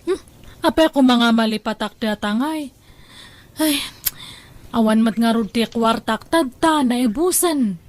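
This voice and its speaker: A woman's voice: fairly high pitch, unhurried, pauses often, close to an everyday voice. A woman with an Ilocano Filipino accent playing a carefree, self-indulgent young working daughter in an audio drama, offhand and dismissive. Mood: defensive.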